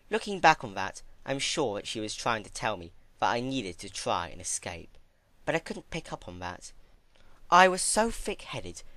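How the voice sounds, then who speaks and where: A man's voice: medium pitch, bright, forceful tone, no echo. A man voicing an ancient, unhinged female pony princess who recalls her torments in a theatrical, chatty way, in a dramatic story reading. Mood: wistful, pained. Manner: theatrical, chatty about horrors, with drawn-out emphatic words. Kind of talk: dramatic fan fiction reading.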